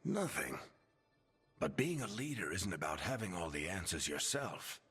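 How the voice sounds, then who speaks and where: An older dwarf mentor in a fantasy game, with a low and heavily husky voice. He is wry and folksy, heard in a long reverb over music. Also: sing-song, normal speed, fairly bright tone, heavily acted. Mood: reflective, encouraging. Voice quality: husky, gravelly. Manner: sardonic, folksy, reassuring. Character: wry older dwarf man, battle-worn mentor and storyteller. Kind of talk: video game dialogue acting. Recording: reverberant hall, music underneath.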